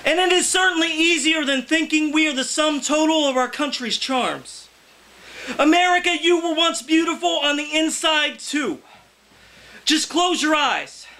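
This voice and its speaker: A man in his thirties giving a loud, emphatic poetry reading, pushing out phrases in a declamatory shout at a live indoor reading. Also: extremely high pitch, poor audio. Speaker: poet, featured reader.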